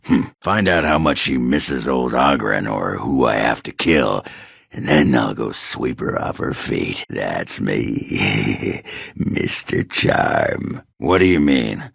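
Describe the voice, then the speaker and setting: An actor playing a crude, boastful middle-aged male dwarf warrior who likes his drink, gruff and drawling in a video game. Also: expressive, slow pace, a bit bright, no room sound, clean studio sound, full character voice. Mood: bragging, bashful. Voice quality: gravelly, raspy bass. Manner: gruff, drawling, mumbling self-satisfied chuckles. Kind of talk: video game character dialogue.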